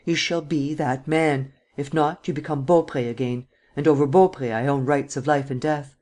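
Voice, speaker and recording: A woman's voice: low-pitched, steady tone, normal speed, a little mellow, lightly acted. A woman reading the part of a ruthless, commanding male nobleman in an audiobook, speaking with vehemence. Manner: imperious, vehement.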